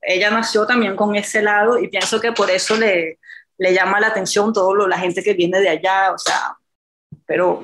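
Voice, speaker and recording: A middle-aged woman from France, a native French speaker, sharing personal stories over a video call and often pausing to search for words. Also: mid-range voice, steady tone, fairly bright tone. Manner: halting, searching for words, many fillers. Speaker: group participant.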